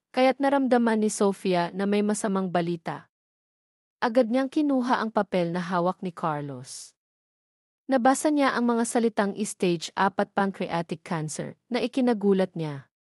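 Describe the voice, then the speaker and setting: A woman narrating a scripted love story in a steady, even reading voice. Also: mid-range voice, moderate pace, no echo, clean studio sound.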